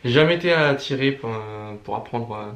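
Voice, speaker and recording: A young man from Brittany who talks hesitantly with many pauses while co-hosting a casual vlog. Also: medium pitch, fairly bright tone, roomy sound. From Brittany, France. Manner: hesitant, many fillers, understated. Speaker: vlog co-host. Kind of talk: casual couple vlog chat.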